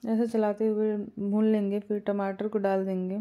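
A woman calmly talking through a home cooking recipe step by step, with small hesitations. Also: medium pitch, moderate pace, lo-fi sound. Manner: calm step-by-step instructions with small fillers. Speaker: cooking channel host. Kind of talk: home cooking tutorial voice-over.

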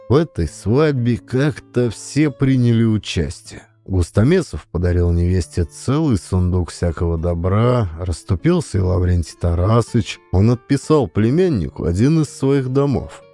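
A man narrating a classic story as an audiobook, with dramatic, expressive storyteller phrasing. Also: fairly low pitch.

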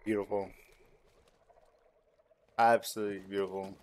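A man's voice: on the low side, flat delivery, normal speed. A man giving casual, slangy gameplay commentary, talking to himself and to the game as he plays. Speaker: gamer recording a playthrough. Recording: game sound clearly behind voice. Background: video game ambience and effects.

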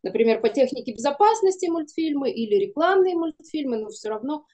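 Middle-aged lady on a video call, highish soft-edged voice, chatty and emphatic interview guest. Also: lively intonation, a little fast. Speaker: guest, animation studio producer. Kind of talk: casual interview talk. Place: small room indoors, on a video call.

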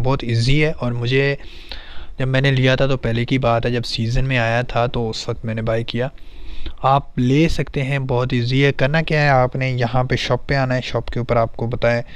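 A man's voice: mid-range voice, clean tone, no room sound. A man giving a casual, conversational tutorial as host of a gaming video. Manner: conversational, explanatory. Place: voice-over on game screen recording.

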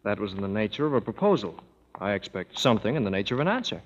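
A tender young suitor, old radio. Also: male voice, on the low side, big pitch swings, rapid-fire, slight background noise. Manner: earnest, gently humorous. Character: earnest young suitor Felix, warm and witty. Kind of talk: 1930s radio drama acting. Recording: muffled old radio broadcast in a hall.

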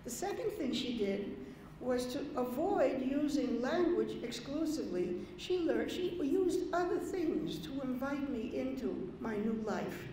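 Older lady poet telling stories at a podium, slightly high voice, faint hiss in the room. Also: lively intonation. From Dominican Republic. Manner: warm, unhurried storytelling with small asides. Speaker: poet giving a reading. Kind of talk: reflective talk before a poetry reading. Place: large conference hall, at a podium. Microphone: podium mic on a gooseneck stand. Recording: very echoey hall with faint noise.

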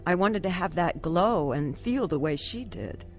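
A woman's voice: fairly low pitch, animated, measured pace, soft background music. An actress playing a troubled, earnest woman telling her story of faith in a radio drama. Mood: troubled, searching. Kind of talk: radio drama acting and first-person narration.

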